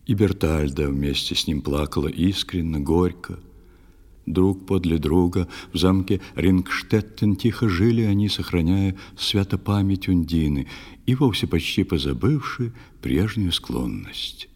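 A man solemnly reciting a poetic tale as narrator of an audio play, measured and expressive. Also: deep voice, slightly rough. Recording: long hall reverb, music behind.

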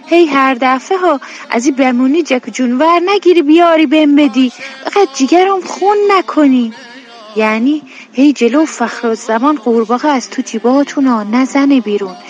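An actress playing an exasperated but affectionate homemaker in a radio drama, speaking colloquial Iranian Persian in a scolding yet fond way. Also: female voice, sits high, highs cut off. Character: exasperated, affectionate homemaker woman talking to children. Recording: music bed behind the voice.

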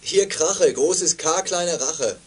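Ein Schauspieler spielt in einem Kinderhörspiel einen zwielichtigen Kleinganoven, der sich zögernd und höflich gibt. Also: male voice, a little high, animated, takes it slowly, noisy background. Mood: guardedly polite. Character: shady petty crook feigning politeness on telephone. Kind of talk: German children's radio play acting.

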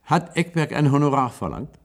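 A man's voice: a little high, big pitch swings, moderate pace, creaky. An actor playing an uneasy, probing new patient in a German science-fiction radio play, hesitant and repeating his words.

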